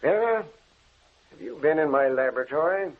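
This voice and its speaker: A man playing an obsessed, stubborn research scientist in a measured, stagey 1940s radio drama style. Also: on the high side, expressive. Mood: suspicious. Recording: tinny, muffled 1940s radio broadcast.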